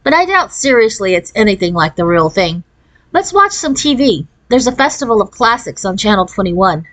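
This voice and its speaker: Woman voicing a brisk, sardonic ten-year-old girl. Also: mid-range voice, sing-song, a bit bright. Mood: dry, decisive. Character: Kate, brisk, sardonic fifth-grade girl, movie buff.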